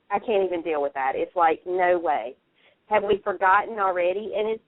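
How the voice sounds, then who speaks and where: A woman's voice: mid-range voice, dry recording. A woman giving emphatic, casual commentary as a panelist on a radio chat show. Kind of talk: casual chat about TV soaps. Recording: narrow phone-line radio sound.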